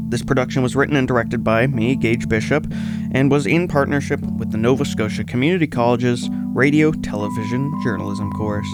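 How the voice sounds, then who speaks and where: Male host, medium-pitched, scripted thank-you credits narration, prominent plucked guitar underneath. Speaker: writer, director and host. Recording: loud guitar music underneath. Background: loud plucked guitar music.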